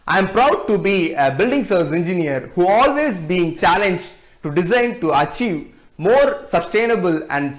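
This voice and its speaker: Man with a lively, rising voice. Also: on the high side. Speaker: awards finalist giving a speech. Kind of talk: prepared speech. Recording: dull lo-fi audio.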